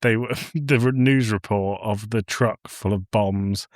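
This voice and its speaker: Lower-pitched man, very dry sound. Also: a little slow, dry recording. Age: 30s. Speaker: podcast co-host. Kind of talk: casual chat.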